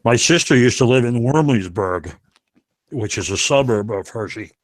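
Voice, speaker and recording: A man's voice: mid-range voice, lively intonation, moderate pace. A man chatting casually in a group, asking questions and telling stories.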